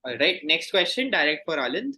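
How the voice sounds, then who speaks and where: Male quiz host, bright forceful tone, fairly high pitch, courteous and formal delivery of questions. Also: very animated, fairly quick. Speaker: quizmaster. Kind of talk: reading quiz questions aloud.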